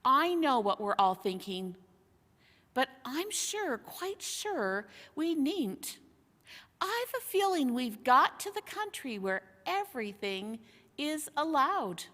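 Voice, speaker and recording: Woman reading as earnest young king, lively and echoing. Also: high voice, slow, heavily acted. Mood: reassuring. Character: young High King Peter, earnest, reassuring boy leader. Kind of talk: storybook character dialogue. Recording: hall-like long reverb.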